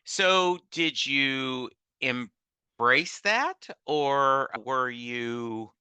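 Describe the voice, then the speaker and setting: A man hosting a podcast, upbeat and enthusiastic, reacting warmly to his guest. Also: mid-range voice, expressive, very slow, clear, resonant tone, dry recording. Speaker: podcast host. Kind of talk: casual interview.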